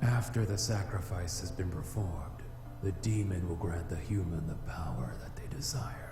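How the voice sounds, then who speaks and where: A man's voice: low voice, normal speed. A man playing a solemn, aloof fire demon in a visual novel, speaking in formal, stiff, haughty phrases. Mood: aloof, explanatory. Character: solemn male fire demon, vengeful, aloof, easily flustered. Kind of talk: visual novel character acting. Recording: echoey reverb with music behind.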